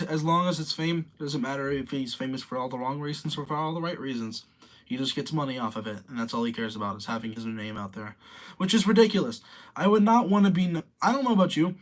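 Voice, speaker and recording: A young man in his late teens, casually rambling through commentary and reading viewer comments aloud. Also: fairly high pitch. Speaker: streamer reading chat.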